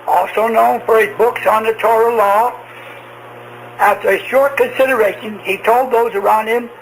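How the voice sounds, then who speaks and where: A man preaching a radio sermon in slow, halting phrases, posing rhetorical questions. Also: high voice. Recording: noisy humming shortwave radio broadcast.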